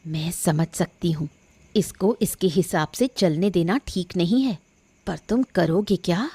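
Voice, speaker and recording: A woman's voice: on the low side, measured pace, mellow, slightly rough, no echo. A woman voicing a bossy scheming mother-in-law. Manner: scolding, bossy. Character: scheming sharp-tongued mother-in-law, middle-aged. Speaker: voice actor in an animated story.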